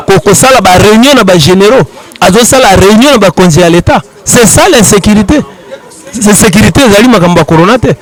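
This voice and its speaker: A man's voice: sits high, poor audio. A man with a Congolese accent giving argumentative political commentary, pressing his points with rhetorical questions. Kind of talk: impassioned street political commentary.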